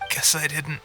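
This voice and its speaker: An actor playing an earnest young soldier in a game cutscene, worn out and halting, putting on a brave cheer. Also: male voice, a little high, bright, forceful tone, exaggerated voice. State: weary, coughing. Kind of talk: video game cutscene voice acting.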